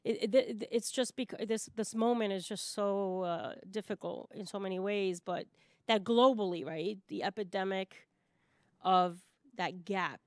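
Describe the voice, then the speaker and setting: A woman from Puerto Rico talks in a panel discussion with an emphatic, impassioned manner. Her pitch is mid-range, and she often punctuates her points with a quick check-in. The sound is close and dry. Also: no echo.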